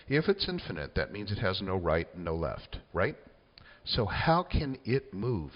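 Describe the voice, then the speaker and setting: A man in his sixties hosting a casual spiritual monologue, musing and unhurried, with many fillers like "you know" and "uh.". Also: sits low. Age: 60s. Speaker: channel host. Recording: echoey, dull lo-fi room sound.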